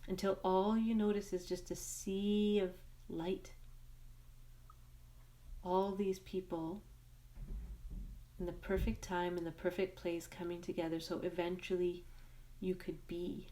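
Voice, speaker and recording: A woman guides a meditation in a fairly low voice. She speaks very slowly and gently, setting out short phrases with long pauses between them. The delivery is soothing and unhurried. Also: flat delivery, low-quality recording.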